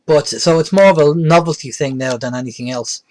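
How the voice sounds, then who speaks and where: Middle-aged male presenter, slightly high-pitched voice, plain-spoken delivery for an informal hands-on how-to. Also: a little fast. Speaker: presenter of a beginners' radio tutorial. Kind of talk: informal step-by-step tutorial.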